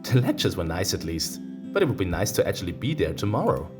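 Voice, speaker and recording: A man reading a visual novel aloud as a let's-play host, breaking off into expressive, teasing reactions, with guitar music behind him. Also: sits low, fast talker.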